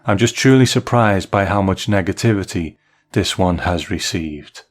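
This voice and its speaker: A man hosting a podcast, reading listener emails aloud in a measured way. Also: deep voice. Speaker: podcast host.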